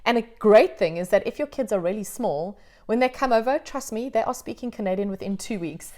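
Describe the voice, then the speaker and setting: A woman's voice: mid-range voice, a little fast, warm tone. A woman hosting an interview, an upbeat yoga teacher who talks in an encouraging, reassuring way. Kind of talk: conversational interview.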